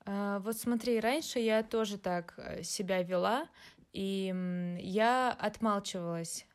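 A young woman in casual conversation, giving measured, reflective views. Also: medium pitch. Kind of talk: casual chat.

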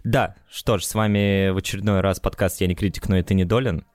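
A man's voice: deep voice, steady tone, fast talker, darkish tone, dry recording, clean studio sound. A man hosting a podcast, introducing the guest in a hesitant, laughing way with many fillers. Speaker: podcast host. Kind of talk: casual conversation.

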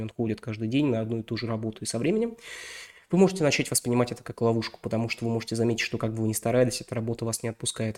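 Young male podcast host, a bit sick, fast and loose, full of fillers and restarts. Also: mid-range voice, a little mellow. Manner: rambling, many fillers and self-corrections. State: slightly ill. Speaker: podcast host reviewing a novel. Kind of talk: casual spoken book review.

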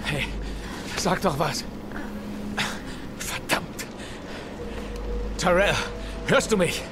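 An actor playing an urgent, caring young soldier who is protective, in a dramatic audio drama. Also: male voice, high voice, animated, very slow, very noisy, heavily acted. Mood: alarmed, urgent.

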